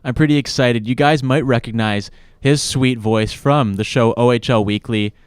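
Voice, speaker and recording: A man's voice: medium pitch, steady tone, moderate pace, smooth, dry recording. A young man hosting a casual sports talk show in a studio, enthusiastic and a little rambling. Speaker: podcast host.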